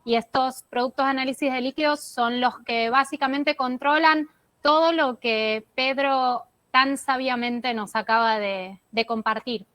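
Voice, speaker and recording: A woman with an Argentine Spanish accent gives a courteous, measured presentation over a video call. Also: high voice, steady tone, a bit bright. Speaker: product manager presenter. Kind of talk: online presentation.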